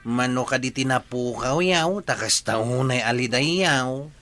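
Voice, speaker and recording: A man's voice: medium pitch, a little slow, fairly bright tone, no echo, close to an everyday voice. A man with an Ilocano accent playing a teasing, joking village bachelor in a radio comedy, with a chuckling, needling manner. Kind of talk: radio comedy drama acting.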